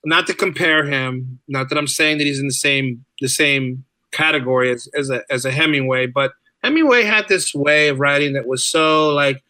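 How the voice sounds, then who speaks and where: A man speaking casually as a podcast guest, telling stories in an animated, rambling way. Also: on the high side, normal speed, hardly any pauses, dry recording. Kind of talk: casual interview chat.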